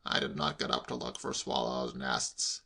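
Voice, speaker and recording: A man voicing an irritable, exasperated older English gentleman in a comic audiobook, testy, strained and emphatic. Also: extremely low pitch, steady tone, moderate pace, creaky, slightly rough, pitched below the performer's own voice, full character voice.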